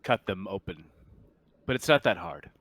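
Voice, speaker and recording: A man narrating a tabletop roleplaying game as game master in a halting, casual way. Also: deep voice, expressive, fairly bright tone. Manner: halting, casual, pieces descriptions together aloud.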